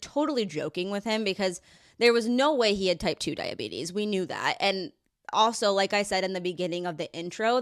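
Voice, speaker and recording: A woman's voice: mid-range voice, no echo, studio quality. A woman hosting a podcast in a casual, upbeat way, sounding a little stuffy from a head cold. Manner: upbeat, chatty, explains things plainly. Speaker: podcast host and dietitian.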